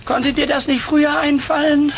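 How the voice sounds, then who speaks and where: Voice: sits high, little pitch movement, moderate pace, lightly acted. An actor playing a gullible, grumbling male hunter sidekick in a children's cartoon audio play, whiny and hesitant in delivery. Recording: noisy old cassette recording.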